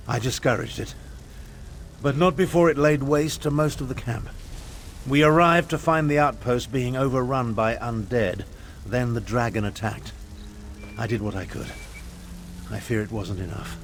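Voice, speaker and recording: An actor voicing a proud, haughty, weary elderly battlemage in a video game, with a pompous, sardonic, measured manner. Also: male voice, medium pitch, slight background noise, lightly acted. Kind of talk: video game character dialogue.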